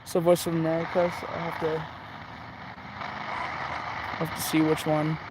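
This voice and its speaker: A young man with a fairly high-pitched voice talks casually, as if to a few viewers on a live stream. He sounds like a hobbyist thinking aloud. Clear outdoor and vehicle-like noise runs behind his voice. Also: monotone, normal speed. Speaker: shortwave radio hobbyist livestreamer. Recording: clearly noisy outdoor or in-car recording.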